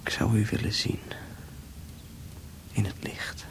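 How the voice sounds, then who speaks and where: An actor playing a frail, tender young man recovering from illness, breathy and halting, in a radio drama. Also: close to an everyday voice. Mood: yearning. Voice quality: breathy, often whispering. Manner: halting, slow, intimate. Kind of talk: Dutch radio drama acting.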